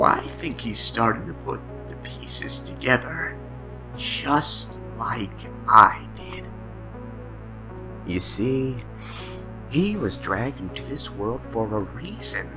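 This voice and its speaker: A man voicing a sinister, taunting, lonely madman in an audio drama, with a breathy near-whisper and slow, teasing, theatrical delivery. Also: mid-range voice, rough recording. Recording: background music under the voice.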